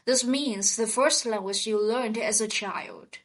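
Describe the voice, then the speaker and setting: A woman co-hosting a scripted vocabulary lesson, speaking in a slow, clear teaching manner. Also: on the high side. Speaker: podcast co-host.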